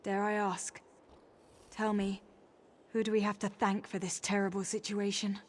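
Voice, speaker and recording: An actress voicing a proper, indignant orc high priestess in a fantasy game, formal, earnest and emphatic. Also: female voice, flat delivery, moderate pace, a little hiss or hum, lightly acted.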